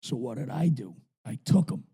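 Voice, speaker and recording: A man with a raspy voice, co-hosting a podcast and telling stories in a casual, swearing chat. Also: mid-range voice, lively intonation, moderate pace, dark tone. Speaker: podcast co-host.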